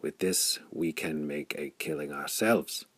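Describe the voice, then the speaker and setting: A man voicing a thoughtful, stubborn wandering hero in an audiobook, with an earnest, measured manner. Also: a bass voice, husky, no echo, pitched below the performer's own voice, full character voice. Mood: resolute.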